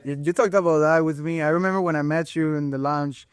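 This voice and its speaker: A young man hosting a casual interview, talking in a relaxed, slangy way. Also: fairly high pitch, quick pace, clear, resonant tone, no echo, clean studio sound. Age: young adult. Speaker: host.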